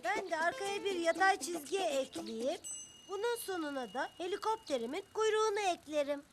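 A woman's voice: extremely high pitch, expressive, normal speed, faint music behind. A woman voicing a cheerful, helpful young animal in a children's cartoon dub, explaining things in a friendly, step-by-step way. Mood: patiently explaining.

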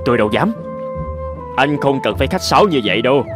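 A courteous cartoon countryman, voiced by a man. His voice is medium in pitch, with formal, deliberate and polite phrasing. Music plays clearly behind him. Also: lively intonation, lightly acted. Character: courteous men of a countryside fairy tale, host and guest. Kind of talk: animated fairy tale dubbing. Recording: background music under the voice.

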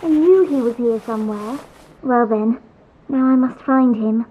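A woman's voice: a little high, a little slow, natural voice. A woman voicing a polite, earnest young female knight in armor in a video game, speaking formally. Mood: hopeful. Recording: thin phone-like game audio with faint hiss.

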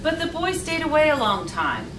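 Middle aged lady reading a kids book out loud, deliberate phrasing, echoey room w faint noise. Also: sits high, big pitch swings. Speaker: volunteer story reader. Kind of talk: children's storybook read-aloud. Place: indoor sitting room with leather sofa. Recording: roomy, distant mic, faint noise.

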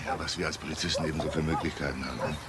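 An actor playing an evasive, sardonic lone-wolf police detective in a radio crime drama, with a casual, needling manner. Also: male voice, rumbling low, steady tone, racing, crowd noise. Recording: clear background noise.

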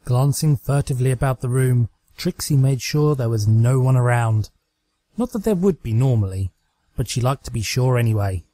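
A man's voice: medium pitch, expressive, moderate pace, dark tone, no room sound. A man reading scripted fan fiction aloud as an amateur narrator. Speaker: amateur story reader.

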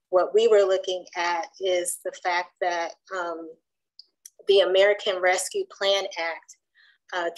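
Soft-spoken woman presenting on a video call. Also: fairly low pitch, poor audio. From Durham, North Carolina. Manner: soft-spoken, measured, with frequent ums. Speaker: community researcher presenting at a coalition meeting. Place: home room with bookshelves. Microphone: video call webcam.

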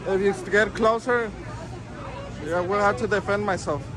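A man's voice: high voice, fairly even intonation, normal speed. Taunting young man filming on the street. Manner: taunting, laughing, talks to camera. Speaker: street vlogger filming restaurants. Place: busy city sidewalk outside restaurant patio. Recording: noisy outdoor street recording. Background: crowd chatter and street traffic.

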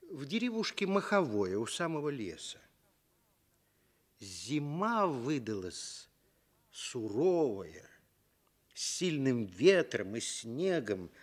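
A man narrating a scripted fairy tale for Soviet radio in an expressive, deliberate manner. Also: fairly high pitch. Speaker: storyteller.

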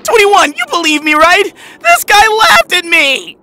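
A woman's voice: extremely high pitch, very animated, measured pace, sharp tone, soft background music, full character voice. A woman voicing a cocky, childish, hot-blooded android superhero with showy, indignant delivery in an anime game cutscene. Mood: petulant, indignant.

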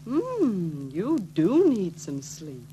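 An actress playing a bossy, fussing wife, prim and chiding, in 1940s film style. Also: female voice, mid-range voice, wildly swooping pitch, very slow, dark tone, heavily acted. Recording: faintly noisy 1940s film soundtrack.

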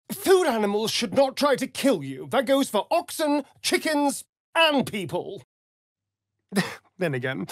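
Casting: sly, vain vampire rogue for a fantasy game. Arch drawl, unhurried, very animated pitch, dry studio sound. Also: male voice, no echo, full character voice. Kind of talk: video game character voice lines.

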